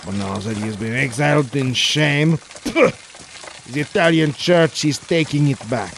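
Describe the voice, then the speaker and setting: A man's voice: mid-range voice, expressive, gravelly, fairly bright tone, slight background noise. A man playing a haughty, insult-slinging nineteenth-century Frenchman with a put-on French accent in a comedic 1940s-style radio play. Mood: sneering. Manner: scornful, drawn-out sneering insults.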